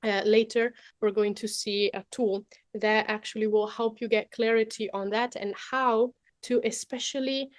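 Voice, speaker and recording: A woman's voice: fairly high pitch, little pitch movement, normal speed, dry recording, studio quality. Italian woman hosting a webinar. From Italy. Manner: chatty, many fillers and "okay?" tags. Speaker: webinar presenter. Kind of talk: live webinar presentation.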